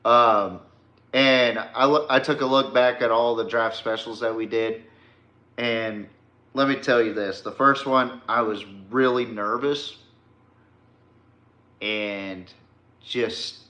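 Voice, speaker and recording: A man's voice: medium pitch, fairly even intonation, slow pace, pauses often, bright, forceful tone, echoey room. A man hosting a casual solo podcast, talking bluntly and with casual swearing into a podcast microphone. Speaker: podcast host.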